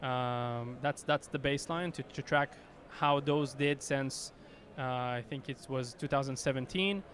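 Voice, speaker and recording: A man's voice: medium pitch, unhurried, hardly any pauses, a bit bright. A man with an Israeli accent, an executive answering interview questions with many fillers and restarts. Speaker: company executive guest. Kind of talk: conference interview.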